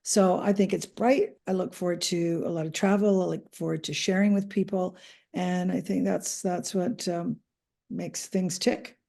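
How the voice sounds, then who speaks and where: A woman's voice: fairly low pitch, steady tone, normal speed, gravelly, warm tone. A Canadian woman, a podcast guest, answering interview questions in a chatty, hesitant way with many ums and restarts. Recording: audio podcast remote recording.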